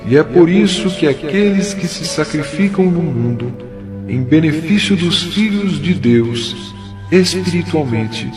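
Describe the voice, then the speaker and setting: A man playing a kindly spirit mentor in an audio drama, preaching in a measured, earnest and solemn way. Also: a little high. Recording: music behind the voice. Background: music.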